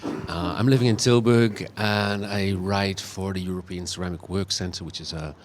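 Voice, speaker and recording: A man's voice: low-pitched, steady tone, normal speed, noisy background. A man introducing himself at a gathering, hesitating and searching for words. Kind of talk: casual self-introduction.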